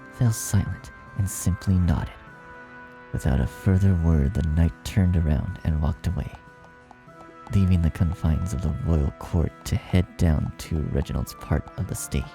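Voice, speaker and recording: A man's voice: deep voice, vocal fry, mellow. A man reading a fan fiction story aloud as its narrator in a scripted style.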